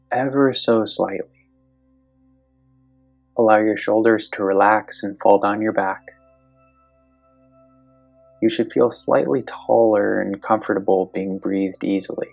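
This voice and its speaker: A meditation guide with a very low voice and a noticeable creak speaks in a slow, gentle, instructional way. The delivery is that of a guided meditation, with soft music and a singing bowl behind the voice.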